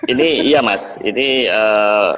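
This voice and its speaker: Deep-voiced man in a reverberant, muffled space. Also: slow pace, a bit bright. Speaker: host of a livestream series. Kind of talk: casual live online chat. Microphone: online video call. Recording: muffled low-fi audio with long reverb.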